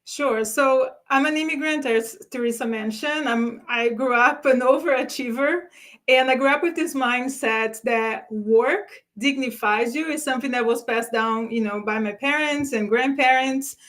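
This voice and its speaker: A woman's voice: sits high, normal speed, a bit bright. A woman from Brazil, a career strategist, answering interview questions in an earnest, reflective way, often restarting her sentences. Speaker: guest expert, career strategist.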